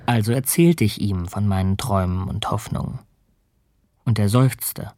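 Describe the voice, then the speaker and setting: An actor playing an earnest, ambitious polar explorer and ship's captain in a radio drama, speaking and narrating in a heartfelt, literary way. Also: male voice, lowish voice, moderate pace, a little mellow, natural voice. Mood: reflective, tender.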